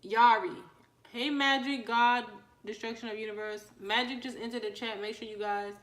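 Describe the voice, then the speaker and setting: A woman's voice: a little high, fairly even intonation, normal speed, roomy sound. A woman hosting a casual livestream, chatting with viewers, reading their comments and welcoming newcomers. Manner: chatty, asks viewers questions, shouts out newcomers. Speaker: livestream host.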